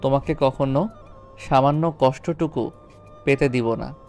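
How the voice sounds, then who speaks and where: অডিও গল্পে একজন পুরুষ অভিনেতা এক তরুণ স্কুলশিক্ষক স্বামীর চরিত্রে, আন্তরিক ও অনুনয়ের সুরে প্রমিত বাংলায় কথা বলছেন।. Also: male voice, medium pitch, unhurried, a little mellow, natural voice. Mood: earnest, resolute. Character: earnest young schoolteacher husband, apologetic and pleading. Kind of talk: audio story drama acting. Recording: background music under voice. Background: music.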